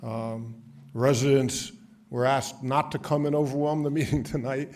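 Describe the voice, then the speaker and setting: A man who leads a residents' association, giving a plain, appreciative public comment with frequent halting fillers. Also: mid-range voice, steady tone, darkish tone. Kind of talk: public comment at town meeting. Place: town hall meeting room.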